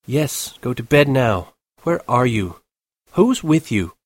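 A man's voice: mid-range voice, big pitch swings, slow pace, dry recording. A man voicing a sleepy, impatient young woman who scolds curtly. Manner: curt, scolding.

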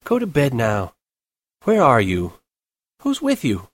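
A man's voice: mid-range voice, wildly swooping pitch, takes it slowly, no room sound, clean studio sound, voice pushed up, full character voice. A man voicing a sleepy, impatient young woman who scolds curtly. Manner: curt, scolding.

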